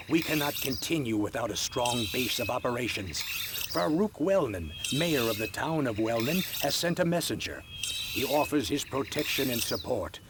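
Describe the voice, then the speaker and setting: A man playing an earnest, resolute independence leader in a video game cutscene, speaking in a grave, deliberate, oratorical way. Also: mid-range voice, natural voice. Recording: loud nature noise, chirping insects behind.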